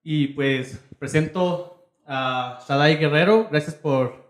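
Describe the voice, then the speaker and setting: A man's voice: a little high, slow. A man hosting a conversational podcast interview, hesitantly repeating phrases as he searches for words. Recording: echoey, roomy sound.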